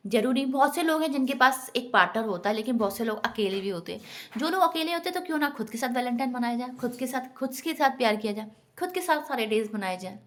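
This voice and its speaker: A woman's voice: on the high side, lively intonation, fast talker, fairly bright tone. A woman giving an earnest, instructive motivational talk directly to her viewers. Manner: earnest, instructive, often addresses listeners as friends. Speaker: self-help vlogger.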